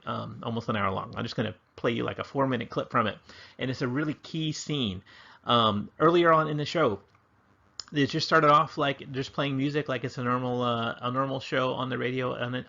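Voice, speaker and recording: A man's voice: medium pitch, vocal fry, bright, forceful tone, lo-fi sound. A man teaching history in an informal, chatty, enthusiastic online lesson style with slangy phrasing. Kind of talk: casual explanatory online lesson.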